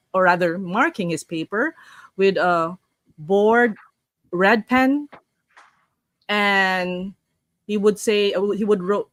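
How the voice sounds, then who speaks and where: A woman's voice: medium pitch, dry recording. Woman hosting an online talk. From Philippines. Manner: chatty, warm-up greetings, frequent restarts while reading. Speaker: host. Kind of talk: casual online talk, reading a story aloud.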